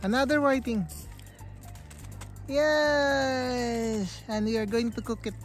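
A woman's voice: a little high, fairly even intonation, slow pace. A woman narrating a casual fishing vlog outdoors on a beach, cheerful and laughing often. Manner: cheerful, laughs often, mixes in Tagalog words. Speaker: vlogger filming a fishing trip. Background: background music.

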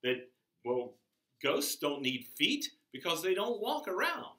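An older man giving a chapel sermon to children in a classroom, speaking slowly and emphatically, his voice rising on key words. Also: fairly high pitch, sing-song, pushed and bright. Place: classroom with a whiteboard, indoors. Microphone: camera across the room. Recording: echoey classroom from far off.

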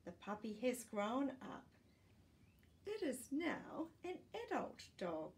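Storytime reader, woman, sixties. Very lively pitch, unhurried, careful delivery for small children. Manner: careful, simple delivery addressed to young children. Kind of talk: reading a children's non-fiction picture book aloud. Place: small living room, indoors. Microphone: camera a short distance away.

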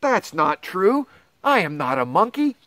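Middle aged guy doing a kids book read aloud, slow, kinda high voice, big mock-shocked delivery, dry sound. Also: big pitch swings, sharp tone, no room sound. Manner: theatrical, exaggerated mock dismay and astonishment. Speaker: pastor reading to schoolchildren. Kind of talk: animated children's picture book read-aloud.

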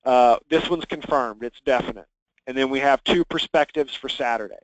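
A man leading a software training conference call, talking conversationally and instructively as he walks listeners through things. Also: mid-range voice, normal speed, dry recording, poor audio. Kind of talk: conversational screen-share walkthrough. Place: conference call with screen share.

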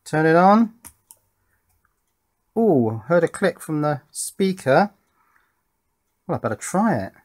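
A man's voice: fairly high pitch, sing-song, slow pace, long pauses. A middle-aged man giving casual hands-on electronics commentary, thinking aloud and asking himself questions as he works. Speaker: electronics hobbyist presenter. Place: indoor workbench.

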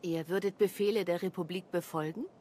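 Woman playing a low-pitched, resolute commander. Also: fairly even intonation, fairly quick, mellow, slight background noise, natural voice. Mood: calm, resolute. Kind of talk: video game cutscene acting.

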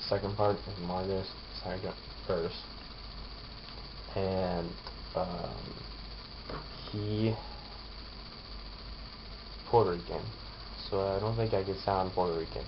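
Young man, worn out and yawning, low voice, drowsy home webcam vlog, dull hissy audio. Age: young adult. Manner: drowsy, mumbling, trailing off. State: tired, yawning. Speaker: vlogger. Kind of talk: casual vlog with rapped verses. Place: small bedroom, lying down. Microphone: webcam held close overhead.

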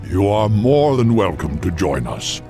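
Big old minotaur chief for a video game, proud n solemn, slow talker, faint music behind. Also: male voice, on the low side, very animated, gravelly, heavily acted. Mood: grave, respectful. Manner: slow, solemn, formal speech. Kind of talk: fantasy video game acting.

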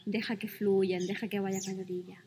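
A woman leading a guided meditation, speaking calmly and soothingly with gentle pauses between phrases. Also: medium pitch, slight background noise. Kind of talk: guided meditation, slow spoken instructions. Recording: long reverb with music behind.

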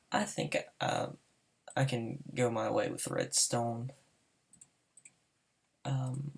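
A man's voice: medium pitch, gravelly. A fifteen-year-old boy with a Southern US accent, talking nervously over his gameplay with many hesitations. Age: teen. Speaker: gamer applying to a server. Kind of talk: casual voice-over gameplay commentary.

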